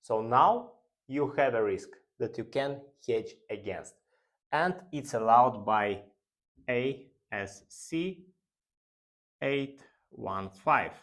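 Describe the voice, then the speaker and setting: Slow, halting male finance instructor. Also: mid-range voice, expressive, a bit bright. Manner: halting, deliberate delivery in short phrases. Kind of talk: explanatory lecture.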